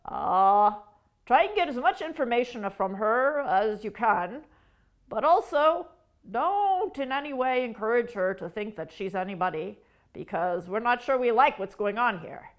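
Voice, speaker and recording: A woman's voice: fairly high pitch, animated, a little slow, pitched below the performer's own voice. Woman playing a bossy scheming crow leader. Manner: scheming, commanding, conspiratorial.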